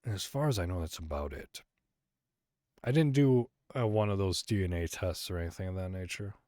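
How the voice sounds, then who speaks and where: Deep-voiced American man rambling on a podcast. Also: fairly quick, a little mellow, no echo, studio quality. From Illinois, USA. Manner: rambling, hesitant, many fillers and restarts. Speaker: podcast host. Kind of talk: solo casual podcast monologue.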